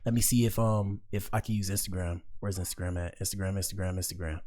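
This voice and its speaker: A man's voice: deep voice, fairly even intonation, quick pace, a little mellow. An American man doing casual, slangy livestream commentary, speaking directly to his viewers as he reacts to clips.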